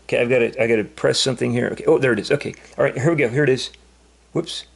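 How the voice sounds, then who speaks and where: A man talking casually and unscripted as a vlogger demonstrating something, in a halting, repetitive, rambling way. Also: mid-range voice, fairly even intonation, vocal fry. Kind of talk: casual unscripted explanation to camera.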